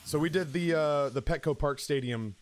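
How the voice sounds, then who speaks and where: Animated, foul-mouthed man telling stories. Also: on the high side, normal speed, a little hiss or hum. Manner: animated, profane, exaggerating. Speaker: game streamer. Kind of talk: casual comic storytelling on a stream. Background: music in the background.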